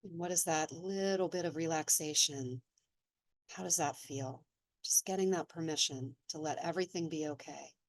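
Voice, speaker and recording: Low-voiced woman guiding a meditation. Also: no echo. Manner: slow, gentle, soothing. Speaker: meditation guide. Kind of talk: guided meditation. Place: seated in an office chair.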